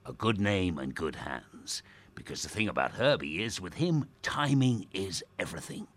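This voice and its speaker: A man playing a grandiose, theatrical radio host in a dark audio drama. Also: low-pitched, very animated, normal speed. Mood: pompous musing. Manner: pompous, cryptic, showmanlike. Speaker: radio presenter character.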